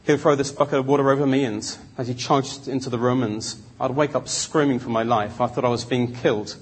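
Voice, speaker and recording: Husky Londoner telling his life story, a man. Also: mid-range voice, steady tone, a little fast. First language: Cantonese. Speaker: speaker giving personal testimony. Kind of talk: autobiographical storytelling to an audience.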